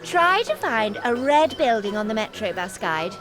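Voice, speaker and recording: A woman's voice: on the high side, sing-song, normal speed, music in the background. A woman voicing a spirited, gossipy tavern musician in a cartoon game. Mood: eager, gossipy. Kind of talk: animated cartoon game voice acting.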